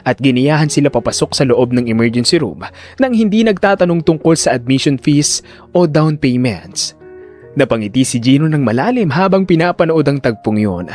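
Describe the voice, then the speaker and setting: A man narrating a scripted audio drama in a deliberate, solemn storytelling manner. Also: fairly high pitch, darkish tone. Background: background music.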